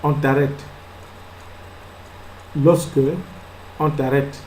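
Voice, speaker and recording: A man preaching a sermon as pastor. He fires rhetorical questions, moves between soft asides and loud exclamations, and acts out little scenes. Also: medium pitch, level tone, extremely slow, echoey room, a little hiss or hum, low-quality recording. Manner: acts out little scenes and laughs at his own jokes.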